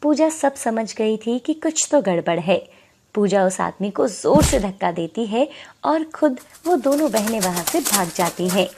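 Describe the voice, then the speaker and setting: A woman's voice: mid-range voice, lively intonation, unhurried, a little mellow, slight background noise. A woman reading scripted narration for a moral story as its storyteller.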